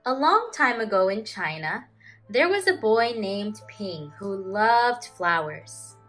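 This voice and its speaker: A woman teacher reads to children in an unhurried, deliberate storytelling voice. Her intonation is very animated, rising and falling to give phrases emphasis, with music present in the recording. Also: medium pitch. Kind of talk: expressive children's storybook reading.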